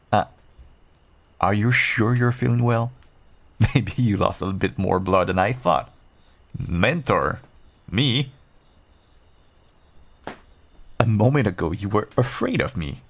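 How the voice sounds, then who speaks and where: Seasoned werewolf adventurer for fantasy audio roleplay, male actor: very low, gravelly, gruff yet kindly, teasing and reassuring. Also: heavily acted. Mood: incredulous, teasing. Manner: reassuring, wry, bantering. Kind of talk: audio roleplay, one-sided dialogue addressed to listener.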